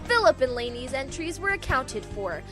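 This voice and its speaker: A woman's voice: high-pitched, sing-song, normal speed, music in the background. A woman playing a spirited, confident young accuser with a theatrical manner in an amateur radio play. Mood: smug.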